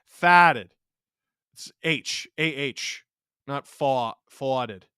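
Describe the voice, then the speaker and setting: A man's voice: medium pitch, drawn-out words, sharp tone, clean tone, no room sound, studio quality. A man in his thirties from Canada hosting a live hockey watch-along, joking with chat and reacting to plays. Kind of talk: casual live-stream commentary.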